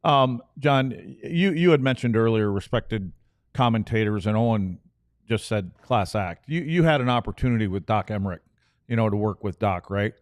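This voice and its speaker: A man's voice: fairly low pitch, normal speed, dry recording, clean studio sound. A man co-hosting a sports podcast, talking casually. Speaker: podcast co-host. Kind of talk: casual chat.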